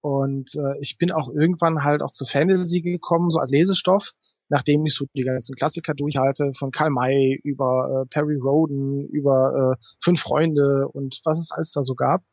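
A man's voice: medium pitch, fairly even intonation, moderate pace, darkish tone, no room sound. A middle-aged man speaking as an interview guest, telling anecdotes in a conversational style.

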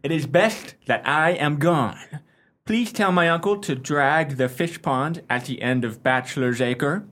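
A man's voice: a little high, unhurried, a bit bright. A man acting a melodramatic, cowardly young suitor in a radio play, self-pitying and overwrought in period speech. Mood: doomed, self-pitying.

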